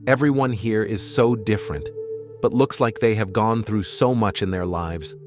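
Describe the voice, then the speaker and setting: Lowish-voiced curious rebel man acting. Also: moderate pace, over music, natural voice. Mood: curious, hopeful. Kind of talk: amateur animated series voice acting.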